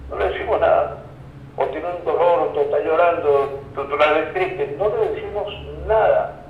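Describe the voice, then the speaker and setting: A man's voice: a little high, normal speed. A Venezuelan man speaking as an expert guest in an interview, explaining ideas reflectively and didactically with word origins and everyday examples. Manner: didactic, reflective, checks in with the listener. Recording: roomy echo, dull lo-fi sound, faint noise.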